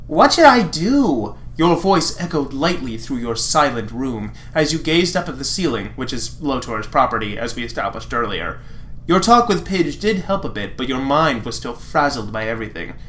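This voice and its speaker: A sardonic fan fiction reader of unclear gender in a mid-range voice. The delivery swings widely in pitch, moving between dramatic narration and mocking asides. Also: lively intonation, moderate pace, fairly bright tone. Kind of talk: dramatic reading with sarcastic asides.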